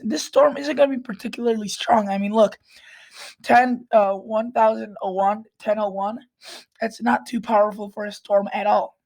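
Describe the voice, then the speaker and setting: Hoarse woman with a cold, flat delivery. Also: mid-range voice, poor audio. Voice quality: raspy. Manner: frequent restarts and throat clearing. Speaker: weather vlogger. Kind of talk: casual weather forecast walkthrough.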